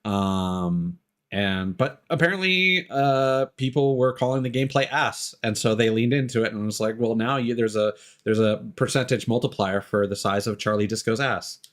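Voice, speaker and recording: Low-voiced man streaming casually. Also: normal speed, fairly bright tone. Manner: rambling, sweary, dryly joking. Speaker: game streamer. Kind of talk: casual live gameplay commentary.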